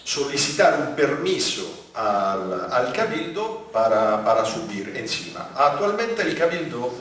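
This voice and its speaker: A man from Italy with a light Italian accent, giving a public lecture with slides, talking haltingly with many fillers and restarts. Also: mid-range voice, very animated, fairly bright tone, a little hiss or hum. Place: large conference hall. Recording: very echoey hall, voice from far off.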